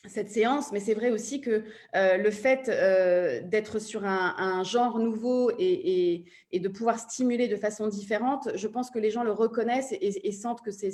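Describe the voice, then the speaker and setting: Female panelist, mid-pitched, explanatory, hesitant as she finds words. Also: a little mellow. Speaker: audio platform panelist. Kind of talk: panel discussion, explanatory talk.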